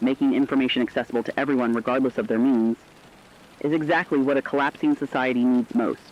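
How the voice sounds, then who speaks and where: A man's voice: medium pitch, a little hiss or hum. Man reporting in dull lo-fi radio sound. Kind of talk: scripted narrative news reporting.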